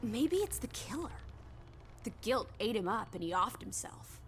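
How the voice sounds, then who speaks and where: Game companion: young woman, highish voice, cheeky, inquisitive, blunt, quaintly playful; faint ambient noise behind. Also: very animated, normal speed, a bit bright. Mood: speculating, indignant. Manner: teasing, blunt, playfully old-fashioned. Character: bold, teasing, morbidly curious young female companion. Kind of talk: video game voice acting.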